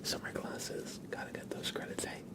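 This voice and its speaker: A young man hosting a relaxed ASMR livestream, mostly whispering and speaking softly in a reassuring, encouraging way. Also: moderate pace. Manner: soft-spoken, mostly whispering. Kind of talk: relaxed ASMR, chatting with viewers and reading tarot cards.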